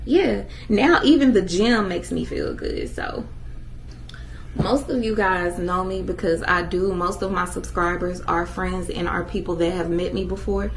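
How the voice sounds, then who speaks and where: A woman in her twenties vlogs to her viewers, emphatic and upbeat. Her voice has a gravelly, fry-touched edge. A faint hum of background noise sits under the recording. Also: fairly low pitch, a little slow, poor audio. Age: 20s. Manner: emphatic, animated, talks straight to viewers. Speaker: vlogger. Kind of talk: casual motivational vlog.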